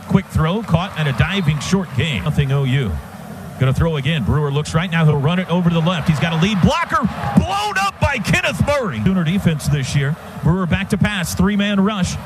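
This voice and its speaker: An American man doing live football play-by-play on the radio in clipped phrases that build to excited shouts. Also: fairly high pitch. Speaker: play-by-play announcer. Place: stadium radio booth. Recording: very noisy outdoor stadium broadcast. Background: loud stadium ambience.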